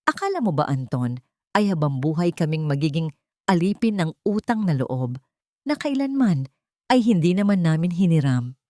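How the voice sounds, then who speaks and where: A dignified old father from an audio drama, voiced by a woman storyteller, stands firm and righteous. The phrases swing widely in pitch within a lowish register. The sound is dry. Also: big pitch swings, moderate pace, darkish tone, no room sound. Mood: indignant, firm.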